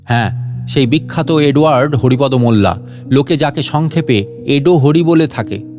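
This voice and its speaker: A man's voice: medium pitch, moderate pace, a little mellow, soft background music, natural voice. A man voicing a bossy, irritable burglar in a comic audio story, stern and scolding, full of boasts and threats. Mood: angry boasting. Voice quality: stern voice, hacking cough. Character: boastful, irritable male burglar mistaken for a ghost.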